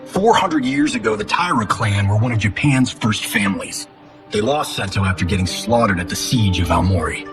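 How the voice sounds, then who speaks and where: An actor playing a cocky, flippant Hollywood action star in a video game, with a sarcastic, theatrical delivery. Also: male voice, lowish voice, animated, moderate pace, music in the background, natural voice. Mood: skeptical, cocky. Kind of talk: cinematic video game acting.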